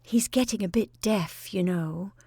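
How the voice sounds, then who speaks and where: A woman's voice: medium pitch, slightly rough, dry recording, studio quality. A woman reading an audiobook voices a fussy, anxious middle-aged spinster, prim and careful in manner. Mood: coaxing. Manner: prim, bright, slow and careful.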